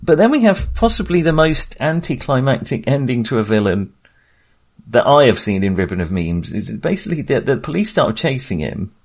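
A man's voice: medium pitch, sing-song, moderate pace. A man hosting a film podcast, talking casually and hesitantly, often circling back to rephrase what he has started.

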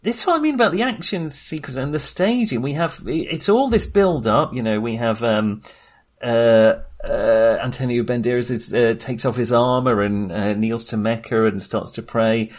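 A man's voice: medium pitch, moderate pace. A man co-hosting a film podcast in casual discussion, talking in a hesitant, rambling way and often correcting himself.

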